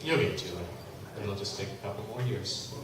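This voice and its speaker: A man playing a blunt, practical traveling tinker in a live radio play, breaking into loud angry shouts. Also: fairly low pitch, fairly quick, a crowd in the background, natural voice. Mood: easygoing. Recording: echoey hall from far off, background noise.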